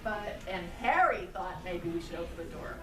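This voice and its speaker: A woman's voice: fairly low pitch, lively intonation, moderate pace, over music. A woman telling a story live to young children in simple words, often prompting them with questions. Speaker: storyteller at a puppet show. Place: live show in a roomy space with children. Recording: roomy, distant mic, noisy live room.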